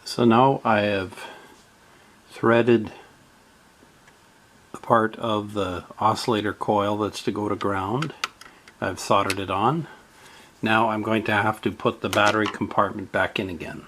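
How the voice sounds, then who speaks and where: A man narrating a step-by-step radio repair in a halting way, with many fillers. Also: lowish voice, steady tone, fairly bright tone.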